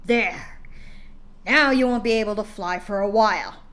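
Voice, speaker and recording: A woman's voice: mid-range voice, measured pace, a bit bright, close to an everyday voice. A woman doing a cruel, growling pony father. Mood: gloating. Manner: growling, gloating, harsh. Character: cruel abusive male pony father, menacing.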